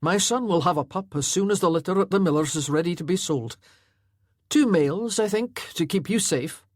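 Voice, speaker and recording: A woman's voice: fairly low pitch, lively intonation, moderate pace, a little mellow, no room sound, clean studio sound. A woman voicing a grim, weary laird who speaks firmly and in short phrases. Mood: grave.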